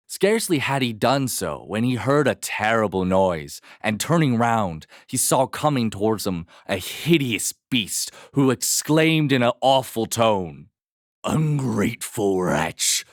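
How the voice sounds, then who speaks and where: A man narrating a scripted fairy tale as a storyteller, measured, expressive and dramatic. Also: fairly low pitch, no echo, clean studio sound.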